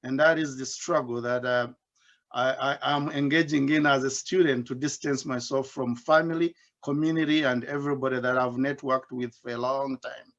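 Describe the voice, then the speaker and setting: A man's voice: medium pitch, steady tone, normal speed. Man speaking over muffled audio. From Uganda. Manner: warm storytelling with gentle self-mocking humor. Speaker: invited speaker, doctoral student. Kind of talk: personal reflective talk at an online symposium. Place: home basement. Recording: dull, muffled video-call audio.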